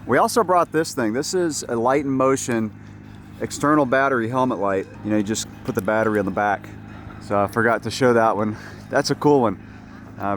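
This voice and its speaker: A man talking casually and upbeat to his camera at an outdoor race, his voice a bit hoarse from yelling. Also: mid-range voice, slight background noise. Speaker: vlogger. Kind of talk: casual vlog narration.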